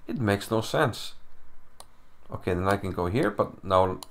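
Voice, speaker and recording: A young man with an Icelandic accent talks through a chess game into his computer mic at home. His voice sits low, with a gravelly edge. He is chatty and loose, puzzling over moves aloud and making fun of himself. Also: expressive, measured pace. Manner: chatty, self-deprecating, thinking aloud. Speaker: chess commentator. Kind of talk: casual chess analysis. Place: home room. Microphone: computer mic, no camera.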